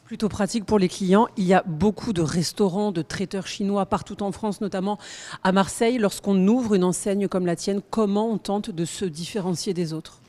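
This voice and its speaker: A woman's voice: medium pitch, moderate pace, warm tone. A woman hosting a TV food show, upbeat and full of praise as she presents. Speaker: TV show host.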